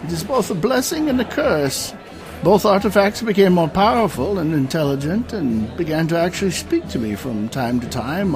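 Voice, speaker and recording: An actor playing a weary, aging knight in a fantasy audio drama, speaking in a formal, grandiose, archaic storyteller's manner. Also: male voice, sits high.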